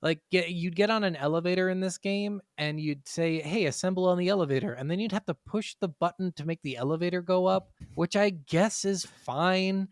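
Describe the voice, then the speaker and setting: Exasperated, higher-pitched man ranting about things. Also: fairly quick, hardly any pauses, no room sound, clean studio sound. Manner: exasperated ranting, heavy swearing. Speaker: gaming podcast co-host. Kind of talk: casual opinionated game discussion.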